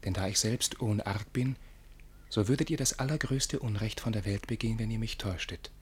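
An actor playing a boastful, naively confiding young gentleman in a German radio verse comedy, with exuberant declamation and a husky voice. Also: fairly low pitch, brisk. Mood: tender reading. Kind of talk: theatrical radio play acting in rhymed German verse.

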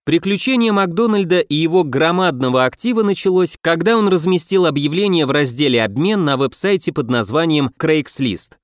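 A man's voice: on the high side, expressive, a little fast, few pauses, no echo, clean studio sound. A man reading a scripted self-help book as a narrator, addressing the listener directly. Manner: rhetorical questions to the listener. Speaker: audiobook narrator.

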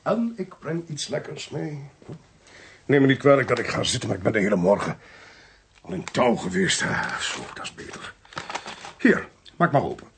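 An actor playing an inquisitive, genial amateur sleuth in a radio play, with a husky, gravelly voice and an expansive, teasing way of talking. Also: male voice, mid-range voice, huge pitch swings, normal speed, long pauses, slight background noise. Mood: jovial.

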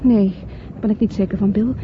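An actress playing a flirtatious married woman in a radio play, expressive and coaxing. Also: medium pitch, fairly quick, close to an everyday voice. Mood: impatient, passionate. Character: flirtatious married woman fleeing London, pleading then seductive. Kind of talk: Dutch radio play acting. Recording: dull, noisy old radio play recording.

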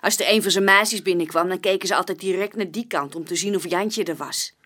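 An actress playing a young, plain-spoken barmaid giving witness testimony in a chatty, colloquial way in a radio play. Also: female voice, fairly low pitch, a little fast, fairly bright tone. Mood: chatty, forthcoming. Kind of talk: naturalistic radio play dialogue.

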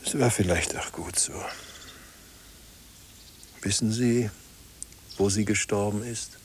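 A man's voice: lowish voice, takes it slowly, a little hiss or hum. A man playing a melancholy, lonely parish priest in a German radio play, speaking in a solemn, terse and resigned manner. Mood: sorrowful, subdued. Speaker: actor in a radio drama.

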